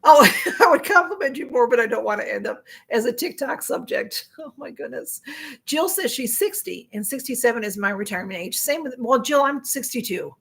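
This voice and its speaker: A woman's voice: high-pitched, lively intonation, a little fast, fairly bright tone, breathy edge. A woman in her sixties chatting casually on a live stream, reading viewer comments aloud, rambling with lots of fillers and frequent laughs. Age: 60s. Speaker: livestream host.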